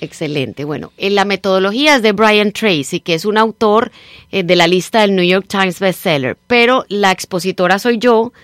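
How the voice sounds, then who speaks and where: A woman's voice: mid-range voice, normal speed, no room sound, clean studio sound. Colombian woman coaching on a radio show. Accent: Colombian Spanish mixed with Nicaraguan. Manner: animated, persuasive, coaching tone with frequent "¿verdad?". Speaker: radio guest, time-management trainer. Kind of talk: radio interview, promoting a seminar.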